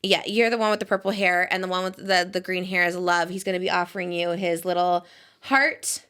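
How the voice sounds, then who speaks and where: A woman's voice: lowish voice, level tone, brisk, a bit bright, clean tone. A woman in her thirties hosting a podcast, chatting in a bubbly, giggly way with many fillers. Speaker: podcast host. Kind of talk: casual chat.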